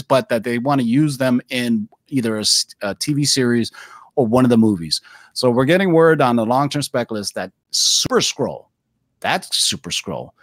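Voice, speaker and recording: A man in his forties hosting a casual podcast chat in a mid-range voice. He rambles in an animated way and keeps tossing in "man" and "dude". The sound is dry and close. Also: mid-range voice, no room sound. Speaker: podcast host.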